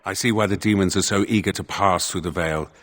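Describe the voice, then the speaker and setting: An actor playing a solemn spirit of justice in a dead man's body for a video game, speaking formally and with measured thought. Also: low-pitched, fairly even intonation, fairly bright tone. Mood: pondering.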